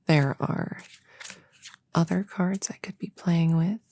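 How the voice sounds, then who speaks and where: A woman playing a young, earnest novice witch in a soft, partly whispered ASMR roleplay, chatting hesitantly and intimately as if to a friend. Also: lowish voice, slow. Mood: playful, relaxed. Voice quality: breathy, soft.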